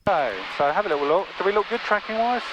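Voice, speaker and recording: A man giving live flight instruction in a calm, encouraging, coaxing manner. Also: high voice, fast talker, highs cut off. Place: small training aeroplane cockpit in flight. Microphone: aviation headset intercom. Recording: noisy light aircraft cockpit, radio headset. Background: loud engine drone.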